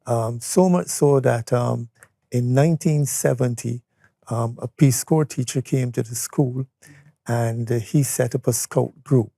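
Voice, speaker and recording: A Barbadian man in his seventies reminiscing conversationally as an interview guest, unhurried and pausing as he tells his story. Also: medium pitch, creaky, warm tone, dry recording, studio quality. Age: 70s. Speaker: interview guest, retired or veteran educator. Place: studio.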